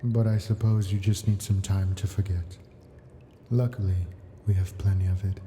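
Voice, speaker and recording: A man's voice: low voice, fairly even intonation, moderate pace, dark tone, a little hiss or hum, natural voice. A man voicing an ancient, possessive dragon captor in an ASMR fantasy roleplay, coaxing and imperious in formal storybook words. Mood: patient, persuasive.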